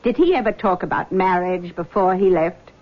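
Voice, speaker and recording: A woman's voice: lowish voice, normal speed, close to an everyday voice. Meddling mother played by a woman, dull recording. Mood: disapproving, pointed. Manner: coaxing, pointed, insistent. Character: doting, meddling, disapproving middle-aged mother. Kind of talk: 1970s radio drama acting. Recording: dull vintage radio recording.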